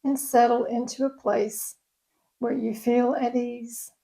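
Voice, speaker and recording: A woman yoga nidra instructor leading a guided meditation in a gentle, soothing, unhurried way. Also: a little high, little pitch movement, creaky, breathy edge, poor audio.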